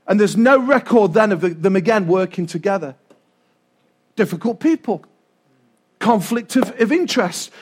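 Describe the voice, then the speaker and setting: Middle aged english bloke preaching, really high voice, loud and chatty, jokey with the congregation, says stuff twice for emphasis. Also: male voice, normal speed, long pauses, husky. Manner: animated, loud, conversational, repeats phrases for emphasis. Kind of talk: informal, humorous sermon to a congregation.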